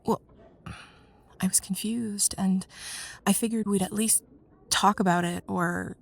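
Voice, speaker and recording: A woman playing a young student in love with her best friend, in an intimate ASMR roleplay, speaking softly and hesitantly, often near a whisper. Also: mid-range voice, steady tone, unhurried. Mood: hurt, confused. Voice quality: soft breathy, husky, often near whisper. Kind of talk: intimate ASMR roleplay, one side of a dialogue.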